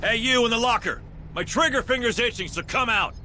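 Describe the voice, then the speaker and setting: A man playing a gruff, cocky marine grunt in a video game, slangy and tough-talking. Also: very high-pitched, brisk, bright, forceful tone, slight background noise, heavily acted. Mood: wary, threatening. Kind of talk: video game dramatic acting.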